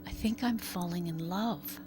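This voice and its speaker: A woman's voice: lowish voice, measured pace, over music, lightly acted. A woman voicing an earnest, curious young female student in love, in audiobook dialogue. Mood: wistful.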